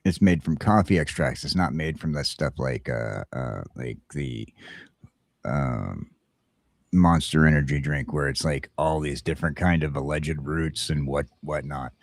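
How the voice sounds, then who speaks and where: A man's voice: rumbling low, unhurried, no echo, clean studio sound. A man talking casually as a talk show host, rambling and stammering, often repeating himself for emphasis. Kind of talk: casual morning show chat.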